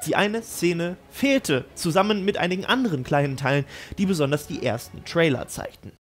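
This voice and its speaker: A man with a high-pitched voice hosts a scripted video commentary on film. He addresses viewers directly and leans on his words with emphasis. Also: expressive, moderate pace, background noise. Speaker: YouTube host on film news.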